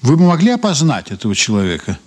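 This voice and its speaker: A man's voice: mid-range voice, huge pitch swings, fast talker, breathy edge. An actor in a Soviet radio drama playing a methodical investigator, formal and businesslike as he questions and recounts a case. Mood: probing. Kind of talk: Soviet radio drama acting, part narration.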